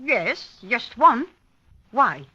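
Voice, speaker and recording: A doubtful, uneasy woman in a 1950s radio play. She speaks slowly with lively, bright intonation. The recording is dry and a bit dull. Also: on the high side, husky, no room sound, heavily acted. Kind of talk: 1950s radio drama acting.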